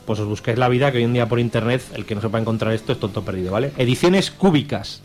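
A man's voice: medium pitch, very fast, a little mellow. A man with a Spanish accent from Spain co-hosts a radio show, reviewing and bantering in a casual, jokey, colloquial and irreverent way. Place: radio studio.

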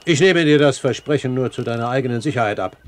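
An actor playing a self-assured, courteous pirate captain in a German radio play, speaking formally and with measured articulation. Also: male voice, mid-range voice, loud music behind the voice, close to an everyday voice. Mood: calm, authoritative. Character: self-assured male pirate captain, commanding yet courteous great-uncle. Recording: 1970s radio recording, faint hiss.

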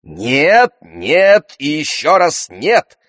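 A man's voice: medium pitch, big pitch swings, measured pace, a bit bright, dry recording, clean studio sound, voice pushed up. Man acting a stern, indignant mother, raised voice. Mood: appalled. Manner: scolding, emphatic, curt. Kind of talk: audiobook character voice.

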